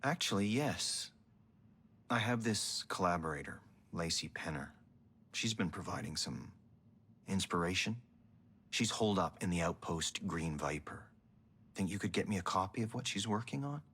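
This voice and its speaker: Vain male barroom songwriter: low, husky, breathy, theatrical and wry, video game cutscene delivery. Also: expressive, moderate pace, fairly bright tone, full character voice. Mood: coaxing, smug. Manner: pompous, languid, theatrical. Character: vain, theatrical male bar musician, self-pitying and wry.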